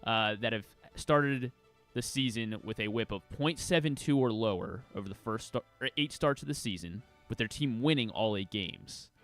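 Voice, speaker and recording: A man giving a casual sports news recap as co-host, halting and often correcting himself. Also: medium pitch, a bit bright, faint music behind. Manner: hesitant, halting, self-correcting. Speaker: sports show co-host reading the week's news.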